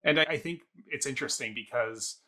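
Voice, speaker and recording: A man's voice: medium pitch, brisk, sharp tone. A man co-hosting a casual review talk show, thinking aloud in a wordy, analytical way.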